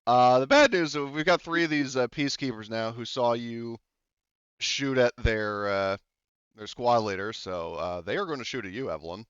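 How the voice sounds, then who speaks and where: A man's voice: medium pitch, normal speed, a bit bright, clear, resonant tone, dry recording, dull audio. A man acting as game master in a tabletop game, chatty and loose, with lots of fillers and the odd swear. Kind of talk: running a tabletop game, casual.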